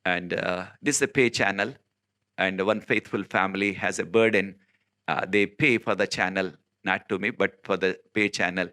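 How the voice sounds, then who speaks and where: A man from South India whose first language is Telugu, preaching in an earnest, emphatic way, with many filler pauses and sudden rises in pitch. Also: fairly even intonation, sharp tone, smooth. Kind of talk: informal recorded sermon.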